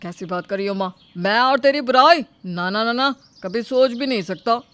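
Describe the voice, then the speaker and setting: A woman's voice: a little high, moderate pace, fairly bright tone, natural voice. A woman voicing a whiny, hapless grown man, a son and husband in a story, pleading and easily flustered. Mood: protesting.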